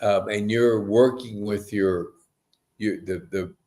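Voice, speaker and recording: A man hosts a relaxed, conversational interview in a fairly low voice. His delivery is slow and rambling, full of enthusiasm as he feels his way into each question. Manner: effusive, rambling, stop-and-start questions. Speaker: podcast host.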